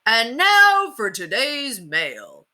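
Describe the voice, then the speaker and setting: A folksy old sheriff who hosts a kids' TV show, acted out by a woman reading a storybook. He draws his words out slowly and sells hard, with a bright, hammy showman's swing. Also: on the high side, huge pitch swings, voice pushed up, full character voice. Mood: showy, coaxing. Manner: drawn-out, hammy showman delivery. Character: folksy, hard-selling old male TV sheriff host for children. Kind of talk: children's storybook read-aloud.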